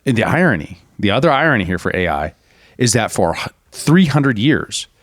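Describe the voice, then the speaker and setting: A man's voice: on the low side, sing-song, normal speed, no echo, studio quality. A man co-hosting a casual political podcast, explaining points at length and mocking opposing views with a raised voice. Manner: explanatory, argues in long runs, mocks opponents in raised voice. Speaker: podcast co-host.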